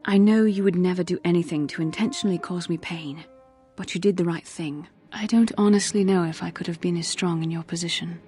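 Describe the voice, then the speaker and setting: An actress playing an earnest, solemn young Jedi warrior in a video game, with formal, grave delivery. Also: female voice, lowish voice, steady tone, brisk, few pauses, mellow, soft background music, lightly acted. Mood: grave, sorrowful. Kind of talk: dramatic video game acting.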